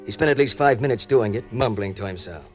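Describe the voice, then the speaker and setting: Man acting a low-voiced, scheming young crook, old radio. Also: fast talker, natural voice. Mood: confiding, smug. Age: young adult. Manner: colloquial, confiding tough-guy storytelling. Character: greedy, scheming young male impostor heir, hard-boiled. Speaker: narrator and lead actor. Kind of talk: old-time radio crime drama acting, first-person narration. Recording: muffled vintage radio recording with music.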